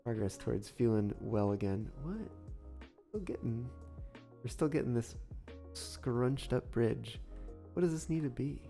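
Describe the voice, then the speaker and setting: A man live-streaming a railway-building game, musing aloud through his sums and greeting viewers in a soft, soothing way while still getting over an illness. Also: mid-range voice, expressive, unhurried, creaky. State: recovering from illness. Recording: faint music under the voice. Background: soft background music.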